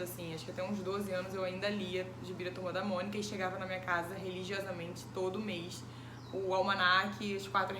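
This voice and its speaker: Hesitant woman in her twenties. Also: medium pitch. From Brazil. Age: 20s. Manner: thoughtful, many hesitant fillers. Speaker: interviewee. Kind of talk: casual recorded interview. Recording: echoey room with background noise.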